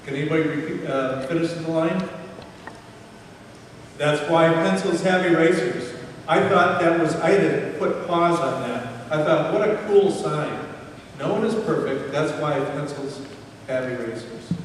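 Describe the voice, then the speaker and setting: A man's voice: on the high side, a little slow. A man giving a motivational talk to young students, often tossing questions at them and checking in with a "right?". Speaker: speaker addressing students. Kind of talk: motivational talk to eighth graders. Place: large echoey room with an audience. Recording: echoey hall, far from mic, faint noise.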